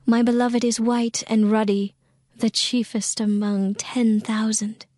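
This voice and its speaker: Woman playing a slow, high-voiced lovesick bride. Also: little pitch movement, dark tone, no room sound, studio quality, natural voice. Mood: adoring. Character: yearning lovesick young bride of biblical poetry. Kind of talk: dramatized scripture reading. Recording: music under the voice.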